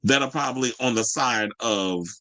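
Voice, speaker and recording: A man's voice: mid-range voice, moderate pace, pushed and bright. An American man hosting a news and gossip show, reading stories and adding blunt, profane, sassy asides in colloquial speech.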